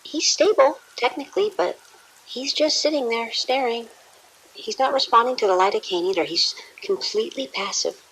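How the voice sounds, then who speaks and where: An actress playing a dutiful, uneasy nurse in an audio drama, reporting politely and hesitantly. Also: female voice, on the high side, animated, moderate pace, fairly bright tone, a little hiss or hum, rough recording.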